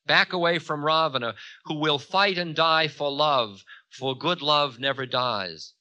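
Man acting a proud, forceful demon king. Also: a little high, unhurried, dry recording, muffled recording, voice pushed up. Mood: commanding. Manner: scornful, grandiose declarations. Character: proud, defiant male demon king, mocking and fearless. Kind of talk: dramatic mythological storytelling.

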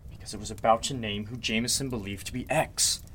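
An actor playing a commanding, steely lawyer who takes charge in a radio mystery, firm and authoritative. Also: male voice, on the low side, quick pace, a bit bright, natural voice. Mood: firm, threatening. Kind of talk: radio mystery drama in Golden Age manner.

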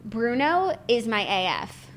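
A woman's voice: on the high side, very animated, measured pace. A woman hosting a book podcast, chatting casually with many "like" fillers. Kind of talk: casual chat.

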